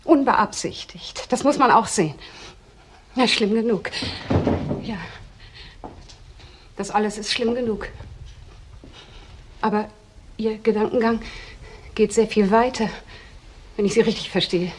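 An actress playing a proud, trusting wife in a crime drama, speaking in husky, halting, deliberate phrases. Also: female voice, on the high side, a little hiss or hum, close to an everyday voice. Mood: stunned, brooding. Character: proud, trusting wife defending her suspected husband. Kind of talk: German TV crime drama dialogue.

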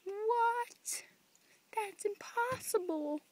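A woman's voice: extremely high pitch, drawn-out words, a little mellow. A young woman teasing her friend while she films outdoors on a phone held at arm's length. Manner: giggly, teasing. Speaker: vlogger filming. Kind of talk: casual chat. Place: outdoors by a pond in the countryside.

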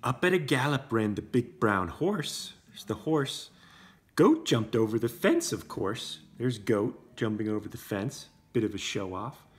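A man's voice: mid-range voice, measured pace. A man in his thirties reading a children's storybook aloud as a parent, expressively, with simple casual asides. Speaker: parent reading to preschool class. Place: indoor room with brick wall. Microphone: camera a short distance away.

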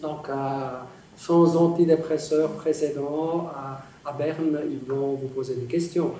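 A middle-aged man, a professor answering audience questions in an academic, halting way with many pauses, in a large lecture hall. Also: on the high side, fairly even intonation, dark tone. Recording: echoey hall, distant, some noise.

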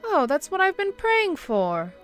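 An actress playing a kindly, long-suffering bishop's wife in an audio drama, gentle and solicitous. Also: female voice, very high voice, wildly swooping pitch, unhurried, soft background music, exaggerated voice. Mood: pleasant surprise. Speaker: actor. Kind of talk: radio-play style drama acting.

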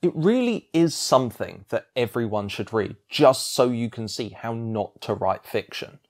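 A man's voice: mid-range voice, animated, moderate pace. A man giving scripted narration as a video essayist.

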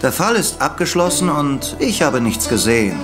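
An actor playing a helpful, matter-of-fact police officer in a German audio drama. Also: male voice, a little high, big pitch swings, normal speed, music in the background. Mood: obliging, curious. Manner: helpful, factual, discreet.

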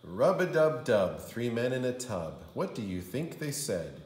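Male storybook reader, very animated pitch, sing-song rhymes, unhurried pace, echoey room. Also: slight background noise. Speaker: kindergarten teacher reading aloud. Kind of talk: expressive children's storybook read-aloud. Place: indoor room. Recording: roomy indoor echo.

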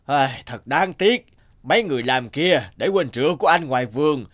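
A man voicing a greedy, smug rich landlord in an animated folk tale, with a drawling, mock-regretful manner. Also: fairly high pitch, normal speed.

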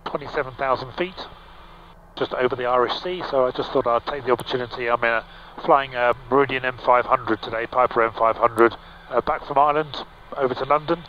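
A middle-aged male pilot talks through his instruments in a relaxed, explanatory way, pausing with ums and restarting sentences. His voice sits at an ordinary pitch and comes through a headset mic that sounds a little dull. A faint hum of engine noise stays underneath. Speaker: pilot and vlog host. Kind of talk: casual cockpit walkthrough. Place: small aircraft cockpit in flight. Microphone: headset boom mic.

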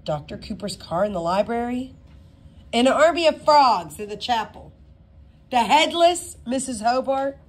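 Storybook fake cop, male, played by a woman: wary, reluctant, questioning, pitched high. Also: expressive, measured pace. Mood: guarded curiosity. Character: cautious, reluctant man posing as a police officer.

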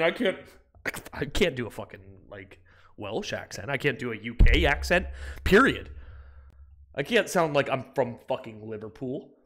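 A young man chatting casually on a live stream from a small room, profane and excitable, bursting into loud outbursts. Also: a little high.

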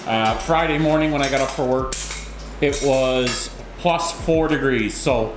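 A man's voice: medium pitch, measured pace. Casting: Canadian male sidekick, mid-range voice, casual and joking, recorded in a roomy, echoing garage. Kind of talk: casual chat. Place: garage workshop, indoors. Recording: echoey garage with clattering noise.

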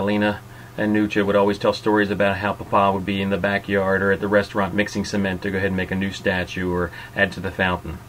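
Middle-aged man, lowish voice, tour host, informal narration, light background noise.

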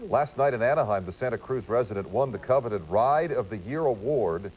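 American male news anchor, mid-pitched. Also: lively intonation, a little fast, a little mellow, rough recording. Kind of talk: scripted news reading with light banter.

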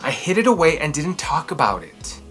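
A man reads a picture book aloud for children with big, emphatic expression. His pitch moves in lively sweeps and his tone is bright and forward. Soft music plays behind him. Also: normal speed. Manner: theatrical, emphatic delivery. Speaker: children's storytime host. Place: small furnished room at home, indoors.

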